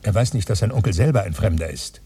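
Low, husky, fussy grumbler. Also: male voice, lively intonation, quick pace, mellow, far from the mic. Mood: insistent. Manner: pedantic, grumbling, thinking aloud. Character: fussy, grumbling man obsessively calculating his budget. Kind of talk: German radio play acting.

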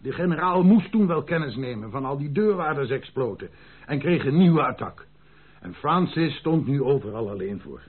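A man's voice: medium pitch, lively intonation, moderate pace, slightly rough. An actor playing a frank, loyal army captain in a Dutch radio drama, deferential but emphatic as he speaks. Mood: agitated, explaining. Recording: muffled, band-limited old radio recording.

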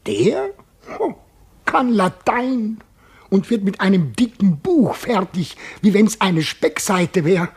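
An actor in a German radio play voicing a simple, good-hearted jailer, coaxing and fussy, with colloquial talk that moves between hushed murmurs and exclamations. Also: male voice, high-pitched, big pitch swings, slow, breathy edge, heavily acted. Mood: coaxing, confiding.

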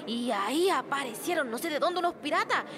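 A woman's voice: high voice, lively intonation, a crowd in the background. A woman acting a gossipy, indignant Chilean village woman in a radio play, telling things in a colloquial, dramatic way. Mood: excited, gossipy. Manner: colloquial, dramatic, drawn-out storytelling. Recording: music behind the voice.

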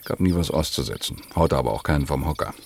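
An actor playing a wry, self-deprecating man in his thirties in a naturalistic radio play, with a gravelly voice and laconic manner. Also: extremely low pitch, animated, fast talker, darkish tone, a little hiss or hum, exaggerated voice. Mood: wry, reminiscing. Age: 30s.

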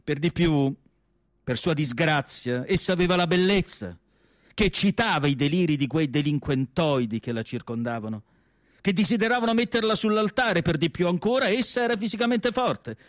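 A man playing a melancholy asylum doctor in radio theatre, narrating in an emphatic literary monologue with dramatic pauses. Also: on the high side, lively intonation, dry recording, clean studio sound, lightly acted. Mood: grave, intense. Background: music.

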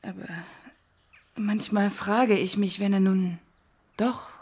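A woman's voice: medium pitch, fairly even intonation, slow, darkish tone, breathy edge. An actress playing a haunted, weary mother in a radio play, confiding in a hesitant, despairing way. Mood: fearful, confiding.

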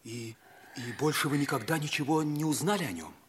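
An actor playing an ardent, impulsive schoolboy in a mid-century radio play, speaking earnestly with theatrical emphasis. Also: male voice, on the high side, a little fast, breathy edge. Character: ardent, impulsive schoolboy dreaming of polar flying. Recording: 1950s radio play recording, faint music.

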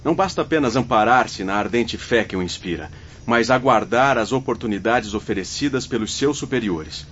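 Stern, formal official played by an actor. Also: male voice, mid-range voice, animated, moderate pace, fairly bright tone, lightly acted. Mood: cautioning. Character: stern, concerned male guard official of spirit colony. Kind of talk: radio drama acting. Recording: dull recording with faint ambient noise.

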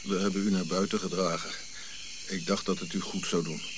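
An actor plays an old prince in exile, dignified and weary. His low voice moves in measured, solemn phrases, as in a radio play. Clear nature noise of crickets and birds sits behind him. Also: little pitch movement, close to an everyday voice. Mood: reserved. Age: older.

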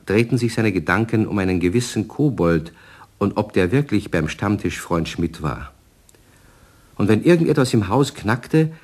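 A man narrating a German children's radio play in a scripted storytelling style. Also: lowish voice.

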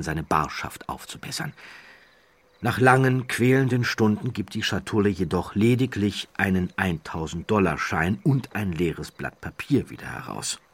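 Deep, husky male audiobook narrator. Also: no echo, clean studio sound. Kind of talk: scripted narration, storytelling.

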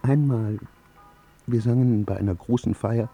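An actor in a German radio drama playing a wounded, vain husband haunted by shame, halting and intense. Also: male voice, medium pitch, creaky, mellow, soft background music. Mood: anguished confiding.

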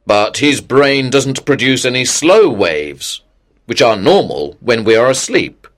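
A man voices a puzzled doctor in an audio story. He explains slowly and carefully in a mid-pitched voice, measured and factual. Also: lively intonation, lightly acted. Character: puzzled male sleep doctor explaining test results. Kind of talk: acted dialogue in an audio story.

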